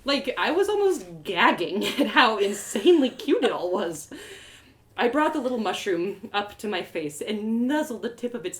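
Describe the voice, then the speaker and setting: A woman reading a first-person story aloud with expressive, playful, dramatic delivery. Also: mid-range voice. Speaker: author reading a story live.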